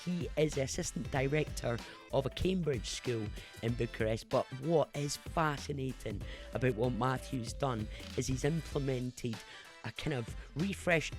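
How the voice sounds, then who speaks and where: Upbeat podcast host man, faint music. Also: medium pitch. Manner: enthusiastic, upbeat. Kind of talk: introducing a podcast episode.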